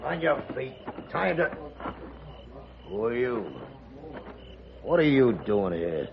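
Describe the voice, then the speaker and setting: An actor playing a brutal, bullying railroad foreman in an old radio western, barking menacing orders in a gravelly voice. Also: mid-range voice, sing-song, takes it slowly, heavily acted. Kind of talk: 1950s radio western acting. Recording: noisy, dull old radio recording.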